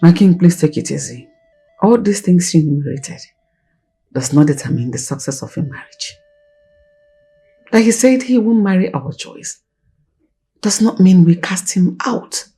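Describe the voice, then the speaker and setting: An actress playing a patient, pleading middle-aged queen in a film drama, soothing and reasoning in a husky voice. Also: on the low side, lively intonation, moderate pace, long pauses, dark tone, faint music behind.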